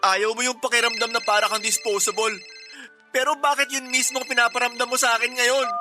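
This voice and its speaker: A man's voice: very high voice, level tone, brisk, over music. A man playing a devoted young boyfriend in a Tagalog radio drama, speaking with emotional, imploring insistence. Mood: pleading, hurt. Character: devoted, pleading young boyfriend and office co-worker.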